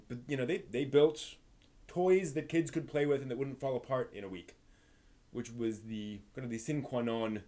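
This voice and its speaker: A man hosting a live stream, telling things in a casual, animated, emphatic storytelling way. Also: mid-range voice. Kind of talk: casual enthusiastic monologue to stream viewers.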